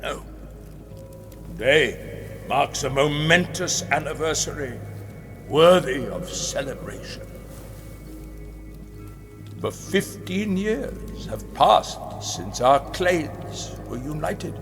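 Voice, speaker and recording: Grandiose rabbit ruler, slow oratory. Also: male voice, fairly high pitch, expressive, fairly bright tone, heavily acted. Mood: proud, solemn. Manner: slow, emphatic, dramatic pauses. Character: grandiose, menacing rabbit ruler addressing his citizens. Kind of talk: theatrical public oration. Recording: long hall reverb, music underneath.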